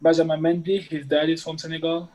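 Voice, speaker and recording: A man's voice: on the high side, little pitch movement, fast, dark tone. A man from France with a French accent, a soccer coach giving short, polite answers in an interview.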